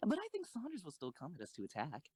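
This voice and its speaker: A woman's voice: low voice, wildly swooping pitch, quick pace, dark tone, husky, dry recording, full character voice. A woman playing an earnest, careful schoolgirl tank tactician in a comic audio drama. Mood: calm, cautious.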